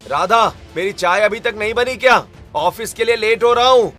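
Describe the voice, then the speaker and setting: A man's voice: high voice, normal speed, pushed and bright, pitched above the performer's own voice. A man voicing a hot-tempered, contemptuous young husband in a family drama story, scolding impatiently. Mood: furious.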